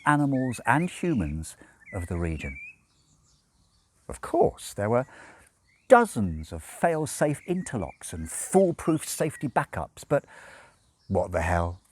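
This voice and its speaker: A man reading a novel aloud as expressive narration, with measured, dramatic phrasing and deliberate pauses. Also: lowish voice, slightly rough. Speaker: audiobook reader.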